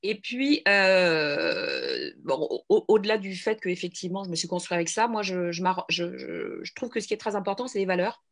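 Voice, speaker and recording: A woman's voice: lowish voice, sing-song, normal speed. A middle-aged woman from France, a guest in a radio interview, speaking earnestly with frequent restarts and hesitations.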